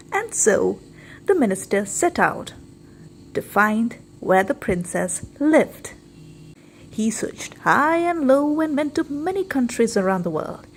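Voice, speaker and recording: A woman storyteller giving an expressive, scripted narration of a folktale. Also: fairly high pitch, slight background noise, rough recording.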